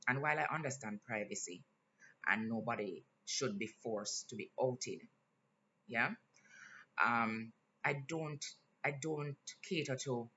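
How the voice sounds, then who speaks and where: Earnest Jamaican man with a bright voice. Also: mid-range voice. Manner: earnest and candid, pausing with fillers. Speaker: vlogger. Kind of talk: casual vlog monologue. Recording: slightly dull lo-fi sound, faint hiss.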